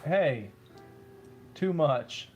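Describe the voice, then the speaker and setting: A man's voice: on the high side, lively intonation, extremely slow, darkish tone, faint music behind. A man hosting a casual building stream, laid-back, telling stories and reading chat aloud. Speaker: streamer host.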